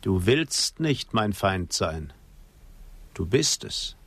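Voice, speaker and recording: Proud sad apache chief, radio play, slow solemn poetic speech, really dry sound. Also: male voice, sits low, sing-song, creaky, fairly bright tone, slightly rough, no echo, exaggerated voice. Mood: grave, resolute. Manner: solemn, poetic, measured declamation. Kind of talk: German radio drama acting.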